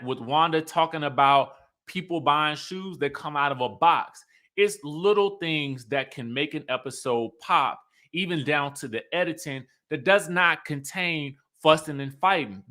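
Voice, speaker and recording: A man hosting an online review show, chatting casually and emphatically to his viewers and often checking in with them. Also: fairly high pitch. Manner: animated, conversational, emphatic, often checking in with listeners. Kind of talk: casual livestream commentary to viewers.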